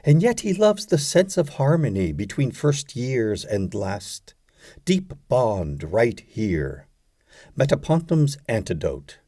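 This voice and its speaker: Male audiobook narrator, poetry reading, very slow and measured, highly varied intonation, deliberate pauses. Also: very animated, warm tone. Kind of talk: expressive scripted poetry reading.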